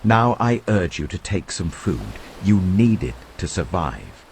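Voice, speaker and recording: Casting: commanding, earnest male apostle played by a male reader, deep voice, animated and urgent oratory for scripture audio. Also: unhurried, vocal fry, slight background noise, exaggerated voice. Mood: encouraging. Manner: urgent, emphatic, reassuring oratory.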